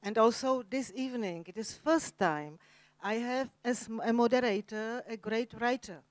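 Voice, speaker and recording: Authrice ceca, donna, inglese di base con forte accento ceco, parla a tratti con molte pause, suono secco e vicino. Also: female voice, fairly high pitch, no room sound. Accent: Czech. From Czech Republic. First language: Czech. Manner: halting basic English, self-deprecating. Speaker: guest author. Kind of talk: onstage literary conversation. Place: cultural center event hall, Jerusalem.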